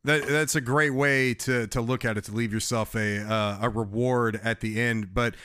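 A man's voice: medium pitch, fairly even intonation, normal speed, fairly bright tone, clear, resonant tone, no room sound, clean studio sound. A man hosting a podcast, chatting casually with jokes and swearing. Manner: jokey, swears, laughs often. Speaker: podcast host.

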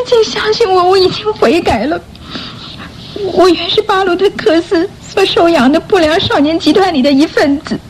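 A repentant young orphan girl in a radio drama. Her female voice is high, timid and hesitant, with an earnest, pleading manner. Faint noise sits behind her. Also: low-quality recording. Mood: tearful pleading.

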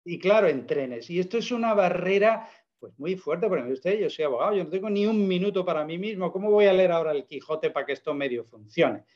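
Male interview guest, persuasive and emphatic, a touch high-pitched, on a dull lo-fi recording. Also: expressive, fairly quick, a little mellow. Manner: emphatic, persuasive explaining with rhetorical questions. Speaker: guest presenting a product. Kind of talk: casual interview.

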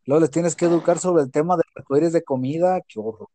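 Animated Mexican man with a mellow voice. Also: a little high, quick pace. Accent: Mexican, Jalisco. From Jalisco, Mexico. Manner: animated storyteller who acts out quotes, with crude slang. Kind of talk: casual chat.

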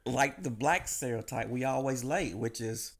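A man's voice: mid-range voice, moderate pace, vocal fry. A man co-hosting a podcast, trading jokes and laughing in casual banter. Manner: laughing, joking, animated. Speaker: podcast co-host.

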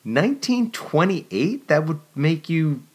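A man reading aloud, voicing an excitable, dreamy young woman with a high, breathless, stammering voice. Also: normal speed. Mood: wonderstruck.

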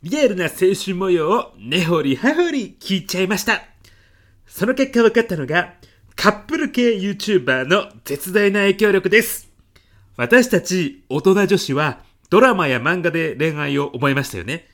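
Middle-aged man, acted, earnest manager, girlish presenting manner, polite business speech, expressive, comedic radio play. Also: high voice, moderate pace. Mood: gushing, dreamy. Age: 50s. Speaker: ad agency department manager giving a presentation.

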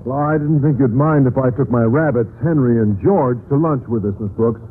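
An actor playing a naive, polite biology teacher in an old radio sitcom, speaking with hesitant earnestness. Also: male voice, mid-range voice, fairly quick, natural voice. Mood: mild, earnest. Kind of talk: 1940s-50s radio sitcom acting. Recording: noisy, narrow-band old radio recording.

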